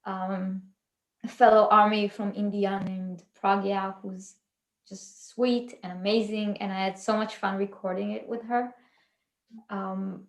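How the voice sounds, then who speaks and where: A woman's voice: medium pitch, little pitch movement, unhurried, roomy sound, rough recording. A woman hosting a casual livestream chat, rambling a little with fillers and restarts. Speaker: channel host.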